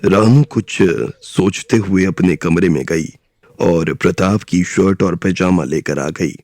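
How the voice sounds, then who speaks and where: A man narrating an audio drama in a steady storytelling style. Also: low voice, slow pace, no echo.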